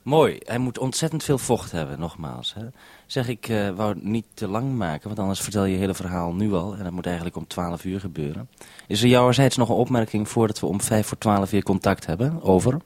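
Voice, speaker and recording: A man with a fairly low voice and a Netherlands Dutch accent, recorded very dry. He speaks as a radio producer on a two-way link, keeping his remarks brief and practical. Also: a little fast, darkish tone, dry recording.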